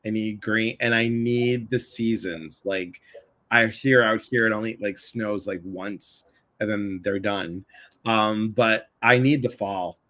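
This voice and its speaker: A man's voice: lowish voice, takes it slowly, sharp tone. A man from the Chicago area hosting a casual livestream conversation, chatty and full of asides. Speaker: livestream host.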